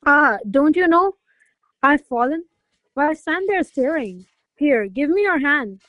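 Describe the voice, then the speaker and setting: A woman's voice: high voice, expressive, slow pace, fairly bright tone, no room sound, full character voice, rough recording. A woman playing a proud, teasing young noblewoman in a classical radio play, speaking in formal, theatrical phrases. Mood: commanding. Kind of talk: classical verse stage comedy as radio play.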